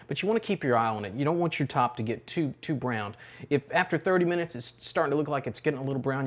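A middle-aged man hosting a cooking show, talking through a recipe step by step in a relaxed, instructional way from a home kitchen. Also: mid-range voice.

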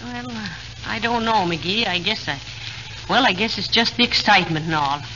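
A woman's voice: medium pitch, normal speed, close to an everyday voice. Woman doing good-natured american wife in old radio comedy, muffled noisy sound. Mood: gentle concern. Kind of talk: 1940s radio comedy acting.

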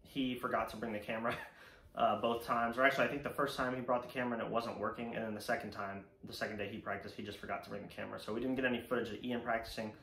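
A man's voice: mid-range voice, steady tone, racing, fairly bright tone. A young man with an American accent talks casually and informally to camera as a fishing vlog host. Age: young adult. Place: indoor room at home, seated before a banner. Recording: echoey room, faint background noise.

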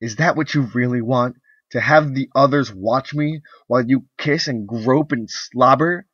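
A male narrator voicing a young wife who is angry and disgusted, his voice pushed high and strained. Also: a little slow, fairly bright tone, husky, no room sound. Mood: furious. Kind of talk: audiobook character voice. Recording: dull, lo-fi recording.

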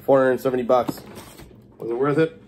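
A man's voice: mid-range voice, steady tone, moderate pace, darkish tone. A young man with a congested, coughing voice, speaking in a laid-back, profane way. He talks to a camera set on a table in a small home kitchen, with faint noise in the background. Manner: laid-back, swears freely, scolds his cat. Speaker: fireworks vlogger. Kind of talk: casual unboxing vlog.

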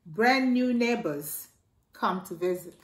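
Slow, expressive woman reading aloud. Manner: slow, expressive read-aloud, jumping high for exclamations and a toy's voice. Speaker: children's storytime reader. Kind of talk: reading a picture book aloud to children. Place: living room by a staircase, indoors. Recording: echoey room.

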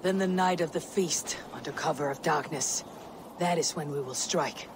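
Resolute Viking warrior leader played by a man, highish voice. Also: moderate pace, noisy background, close to an everyday voice. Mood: commanding. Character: stern, shrewd young adult Viking warrior leader. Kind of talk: dramatic video game acting.

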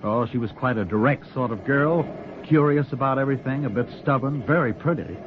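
A man's voice: mid-range voice, a little fast, natural voice. Earnest small-town young man, noisy old radio , acted. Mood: wistful. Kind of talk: 1940s radio drama acting. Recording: noisy, tinny 1940s radio recording.

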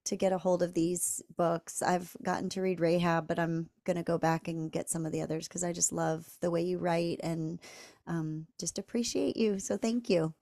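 A woman podcast host with a low-pitched, mellow voice prays for her guest in a gentle, earnest way, pausing on soft fillers. The sound is dry and close, with almost no room in it. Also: fairly even intonation, dry recording. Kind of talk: spontaneous spoken prayer.

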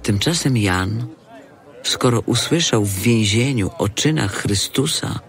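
A man's voice: on the low side, animated, slow, fairly bright tone. A man narrating a dramatized scripture audio production as storyteller.

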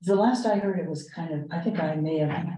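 A woman with a low, mellow voice leads a committee meeting. She explains each point in a measured, halting way. She is picked up from a distance in an echoey room. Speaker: arts commission member leading the meeting. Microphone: distant room microphone.

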